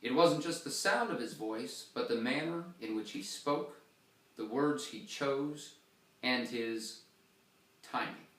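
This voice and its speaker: A man reads a story aloud with dramatic pauses, his voice rising and falling in loudness. He stands back from the microphone, and the big church room rings around his voice. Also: medium pitch, echoey room, far from the mic, low-quality recording. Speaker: reader. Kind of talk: storytelling reading.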